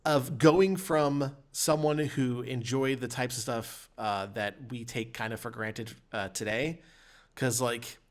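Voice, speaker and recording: Guy in his 30s, average pitch, opinionated podcast chat, kinda halting delivery. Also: steady tone. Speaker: podcast co-host and games journalist.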